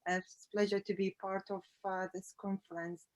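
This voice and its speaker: A woman from Iraq whose first language is Arabic, giving a conference talk as an artist, pausing often with fillers. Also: medium pitch, little pitch movement. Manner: hesitant, many fillers.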